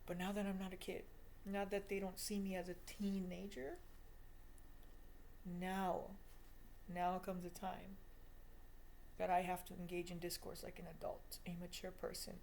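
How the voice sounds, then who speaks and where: Creaky-voiced young woman, candid and emphatic. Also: fairly low pitch, monotone, normal speed, long pauses, a little mellow, slight background noise. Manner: emphatic, repetitive, self-deprecating. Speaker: vlogger. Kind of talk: confessional video diary monologue.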